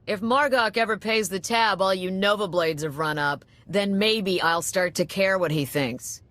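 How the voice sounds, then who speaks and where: A woman's voice: mid-range voice, normal speed, a bit bright, no room sound, lightly acted. A woman playing a wry, unfazed cantina keeper in a video game, sardonic and nonchalant. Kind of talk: video game character acting.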